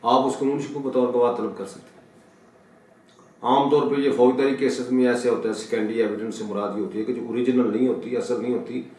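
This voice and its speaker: A heavily reverberant recording of a Pakistani man teaching, picked up at some distance from the microphone. He has a mid-pitched voice and explains like a law lecturer, restating each point and dropping in English legal terms. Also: fairly even intonation, brisk, mellow. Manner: didactic, repeats and rephrases points. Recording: very echoey room, distant mic.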